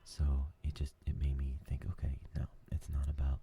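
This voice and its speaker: A man hosting a new ASMR gaming channel, talking in a soft whisper and rambling with lots of fillers. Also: very deep voice, monotone, moderate pace, vocal fry, slight background noise.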